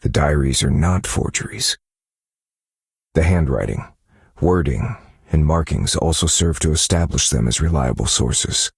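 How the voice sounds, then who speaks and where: An actor playing a brooding detective who narrates a dark mystery game story in a grave, measured, dramatic manner. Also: male voice, rumbling low, gravelly, husky, no room sound, studio quality, exaggerated voice. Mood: pensive.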